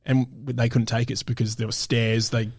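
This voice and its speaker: A man's voice: mid-range voice, flat delivery, a little fast, a little mellow, slightly rough, no echo. Australian man in casual chat, dry sound. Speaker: podcast co-host.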